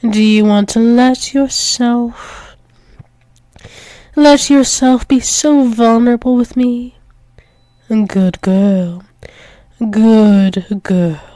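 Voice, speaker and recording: Woman acting as a possessive female werewolf demon, ASMR roleplay, very slow, close dry sound, coaxing, doting. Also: on the high side, warm tone, no echo. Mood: teasing, possessive. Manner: coaxing, soothing, slow, often dropping into whispers.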